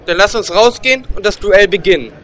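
A man's voice: high voice, fairly quick, fairly bright tone. A man playing a cocky, irritable and cowardly male gnome warlock in a comedic fantasy audio drama, sarcastic and taunting. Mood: combative.

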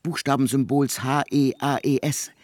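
An actor playing an intense, exacting middle-aged composer in a literary radio play, speaking emphatically and precisely. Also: male voice, mid-range voice, fairly even intonation, moderate pace, a little mellow, no echo, natural voice. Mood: fervent, exacting.

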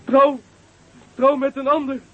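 A man's voice: extremely high pitch, level tone, a little slow. An actor playing a timid young man who is afraid of heights and stammers with fear in a radio play. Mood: terrified. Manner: stammering, fearful. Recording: tinny radio recording with faint music.

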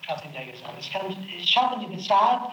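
A man's voice: high voice, sing-song, fairly quick, sharp tone, echoey room, poor audio. Exhausted, pleading man on an old tape. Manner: pleading, exasperated, repeats himself. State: exhausted, complains of headache. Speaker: suspect under interrogation. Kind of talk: archival interrogation recording.

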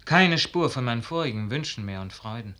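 A man's voice: mid-range voice, animated, brisk, bright, forceful tone. An actor playing a lovesick, earnest young nobleman with a yearning, lyrical delivery in a 1950s radio drama. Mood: anguished longing. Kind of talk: 1950s German radio play acting.

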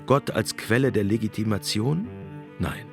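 A man reading scripted narration for a radio documentary in a measured, essayistic storytelling manner. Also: on the low side, over music.

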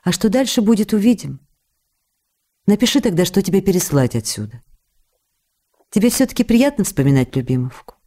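A woman's voice: mid-range voice, expressive, a little fast, pauses often, warm tone, lightly acted. An actress playing a loving, hurt and anxious wife, reading her letters in an intimate, pleading way for radio drama. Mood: tender, pleading. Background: faint music.

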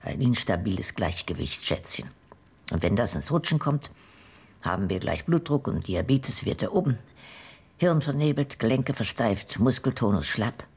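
An old woman in a radio play, her voice husky and swinging widely in pitch. She is shrewd and determined, recounting things in a deliberate, wry way. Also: animated, a little mellow, full character voice. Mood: sardonic. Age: older. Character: shrewd, determined old woman, returned emigrant investigating betrayal. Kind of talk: radio drama acting.

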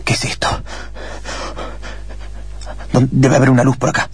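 A man's voice: mid-range voice, level tone, moderate pace, vocal fry, slight background noise, lightly acted. A man voicing a nervous, obsessive researcher in a radio drama, agitated and stammering, with an Argentine accent. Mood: alarmed disbelief. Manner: agitated, stammering, talking to himself.

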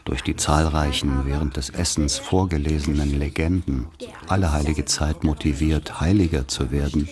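A man from the Upper Palatinate in Bavaria narrating a literary radio play in a deliberate, dramatic, poetic declamation. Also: rumbling low, fairly even intonation, a crowd in the background. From northern Upper Palatinate, Bavaria. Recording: music behind the voice. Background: music.

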